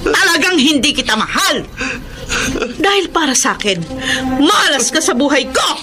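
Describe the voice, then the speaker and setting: A bitter, cruel mother in a radio drama. Her high, shrill voice swings sharply with scorn. Dramatic music plays behind her. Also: big pitch swings, moderate pace. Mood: scornful, bitter. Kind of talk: Filipino radio drama acting.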